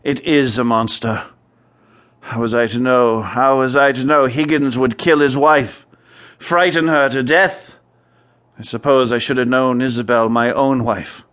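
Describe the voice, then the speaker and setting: A man's voice: medium pitch, fairly even intonation, a little slow, fairly bright tone, natural voice. Man acting an obsessed, erudite scientist. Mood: distraught, bitter. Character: obsessed, distraught male scientist studying fear. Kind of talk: radio drama acting.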